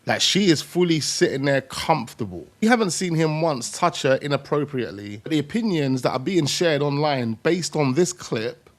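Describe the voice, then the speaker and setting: A man's voice: fairly high pitch, lively intonation, normal speed, hardly any pauses. A man hosting reaction commentary in a conversational way, repeating his points for emphasis. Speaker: video host.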